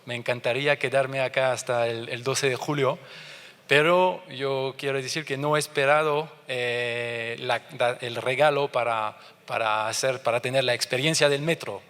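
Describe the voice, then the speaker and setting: A halting French Canadian man speaking in a reverberant conference hall. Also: medium pitch, fairly bright tone, smooth. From France and Canada. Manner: halting, searching for words, many restarts. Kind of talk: conference presentation.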